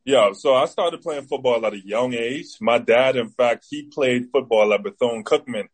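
A man's voice: mid-range voice, moderate pace, slightly rough. A man in his twenties from the Bahamas with a Bahamian accent, an athlete giving casual interview answers as a guest. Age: 20s. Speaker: interview guest, football player.

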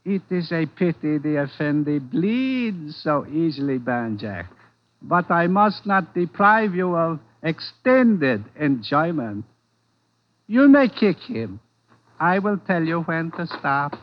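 A slow, silkily menacing gang boss. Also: male voice, on the high side, big pitch swings. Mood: cold cruelty. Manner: formal, measured, silkily polite. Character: courteous, menacing male gang boss with silent henchman. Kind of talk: 1940s radio acting. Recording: dull, lo-fi 1940s radio recording.

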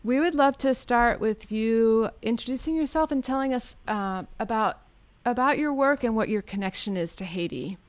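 A woman's voice: a little high, moderate pace, no room sound. A woman hosting a radio show, introducing her guest with a friendly, scripted delivery. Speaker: radio host.

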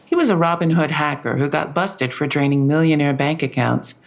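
An actress playing a police detective in an audio drama, matter-of-fact and a little wry. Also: female voice, sits low, a little fast. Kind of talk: full-cast audio drama acting.

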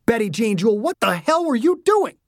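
A narrator voicing an angry, protective father with a hot temper, shouting and cursing in an audiobook story. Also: mid-range voice, big pitch swings, brisk, no echo, clean studio sound, voice pushed up. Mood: furious scolding.

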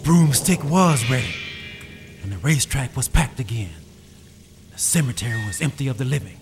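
A male storyteller narrating with a rhythmic, building cadence. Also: fairly high pitch. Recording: reverberant space with music behind.

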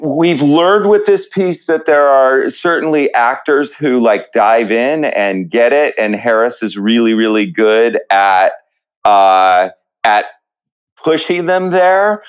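A man reflecting in an interview as a theatre writer, speaking haltingly and pausing often mid-thought. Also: medium pitch, lively intonation, clean tone. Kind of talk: reflective panel interview talk.

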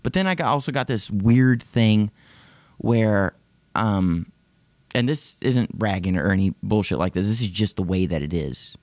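A man's voice: lowish voice, animated, a little slow, darkish tone, no echo. A man in his late thirties, rambling and profane in casual self-deprecating podcast chat. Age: 30s. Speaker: podcast host.

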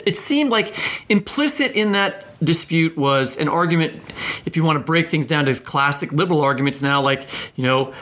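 A man's voice: on the high side, animated, moderate pace, fairly bright tone. A man speaks as a podcast host in casual discussion. His talk rambles along in loose, conversational turns, and he often looks for agreement from the others.

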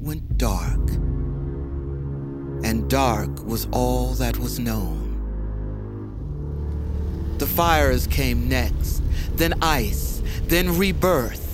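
A solemn mythic storyteller. He narrates very slowly and gravely in a mid-pitched voice, with loud cinematic music underneath. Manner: grave, portentous, deliberate. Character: solemn male storyteller of an ancient myth. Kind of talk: scripted narration.

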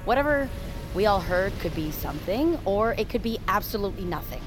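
An actress playing a wry, kind but reluctant submersible pilot in an audio drama, talking in a dry, casual, teasing way. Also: female voice, medium pitch, big pitch swings, brisk, music in the background, close to an everyday voice. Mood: uneasy, reluctant. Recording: clear vehicle and sea ambience noise.

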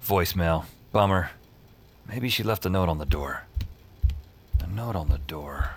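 A man's voice: sits low, expressive, a little slow, vocal fry, slight background noise, exaggerated voice. An actor playing an anxious night-shift dispatcher, narrating his misadventures in dry, clipped sentences. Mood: wry, frazzled. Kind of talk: first-person noir-comic audio drama narration. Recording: music under the voice.

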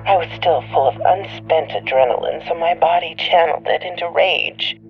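A woman playing a bitter divorcee on a tipline, telling her story deliberately and resentfully in an audio drama. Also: low-pitched, natural voice. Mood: uneasy, resentful. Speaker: tipline caller. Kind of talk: dramatic monologue in audio fiction. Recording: dull lo-fi radio-like sound, music behind.

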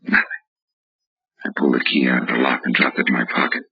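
An actor playing a hardboiled, wry private detective in 1940s radio acting, tough and clipped. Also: male voice, rumbling low, little pitch movement, quick pace, husky, no room sound, full character voice. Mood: wry, grim. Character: hardboiled, wry male private detective narrating his case. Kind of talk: 1940s radio acting, scripted first-person narration. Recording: muffled old radio recording with music.

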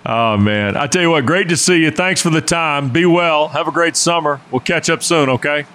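A man's voice: a little high, a bit bright. A man hosting a sports radio show, talking casually and joking with a guest and his co-host. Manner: joking, conversational, quick asides.

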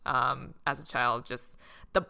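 A woman chatting casually with friends, telling stories from her childhood. Her voice is bright and ringing, and she often jumps higher when she acts out her younger self. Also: steady tone, slow, vocal fry, smooth. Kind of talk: casual chat.

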